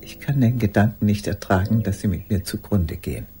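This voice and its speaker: An older actress playing a lonely, pleading old woman in a radio play monologue, halting and intense, with a husky voice. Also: rumbling low, expressive, warm tone, faint music behind. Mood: pleading, earnest.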